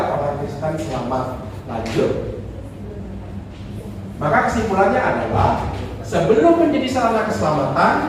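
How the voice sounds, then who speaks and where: A man, a priest, giving a lively sermon talk, acting out quoted voices and joking with the audience. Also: fairly high pitch, far from the mic, noisy background. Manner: animated, acts out quoted voices, jokes with audience. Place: church hall. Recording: echoey hall recorded from afar.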